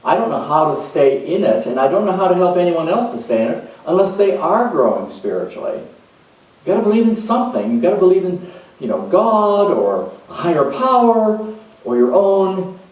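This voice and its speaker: An actor playing a calm, spiritual hospice coordinator who speaks in an earnest, searching way with many pauses. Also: male voice, high-pitched. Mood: reflective. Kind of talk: dramatized monologue. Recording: very echoey room, mic far off.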